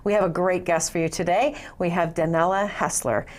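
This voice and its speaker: A woman hosts a TV interview show and keeps the talk moving with short, encouraging questions and reactions. Her voice sits somewhat low for a woman. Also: steady tone. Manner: encouraging, quick short prompts. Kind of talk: interview hosting.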